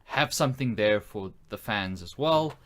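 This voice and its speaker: A man's voice: fairly low pitch, animated, normal speed, a bit bright. A man co-hosting a podcast in casual conversation, thinking aloud and hedging as he builds his point. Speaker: podcast co-host. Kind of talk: casual chat about films and games.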